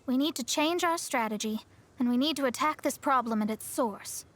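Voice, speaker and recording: A woman's voice: high voice, moderate pace, close to an everyday voice. A woman voicing a proud, self-assured young female astrologist in a video game, articulate and a little haughty. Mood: resolute. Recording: faint music behind the voice.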